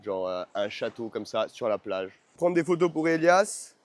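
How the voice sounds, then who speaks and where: A man's voice: medium pitch, big pitch swings, normal speed. A man chatting casually to his followers in a travel vlog, in informal, slangy speech with phrases repeated for emphasis. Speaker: vlogger; online course seller. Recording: noisy on-location vlog sound.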